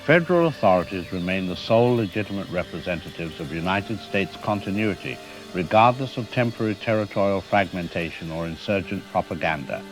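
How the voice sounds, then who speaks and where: Deep, stern federal spokesman. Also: expressive, brisk, over music, rough recording. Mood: stern, unyielding. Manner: formal, bureaucratic, rigid. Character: stern, uncompromising federal government spokesman, official. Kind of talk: scripted audio drama political statement.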